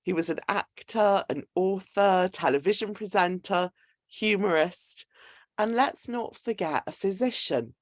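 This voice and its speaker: A woman's voice: medium pitch, a little slow, no room sound, clean studio sound. A woman hosting a talk and introducing a guest in an articulate, explanatory way. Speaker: host introducing a guest speaker. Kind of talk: semi-scripted event introduction.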